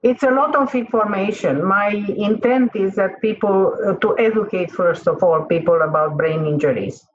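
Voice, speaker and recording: A woman's voice: medium pitch, normal speed, few pauses, lo-fi sound. Hungarian-native woman from Transylvania. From Transylvania, Romania. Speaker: guest.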